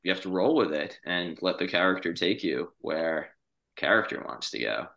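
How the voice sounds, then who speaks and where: A man hosting a casual podcast discussion, earnest and halting as he works through his points. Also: sits low, expressive, creaky, sharp tone. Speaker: podcast host.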